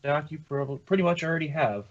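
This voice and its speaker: A man's voice: fairly high pitch, fairly quick, dull audio. A man co-hosting a podcast in casual fan chat. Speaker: podcast co-host.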